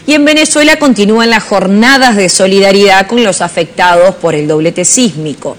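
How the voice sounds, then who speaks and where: A woman's voice: medium pitch, normal speed. A woman presenting scripted news as an anchor.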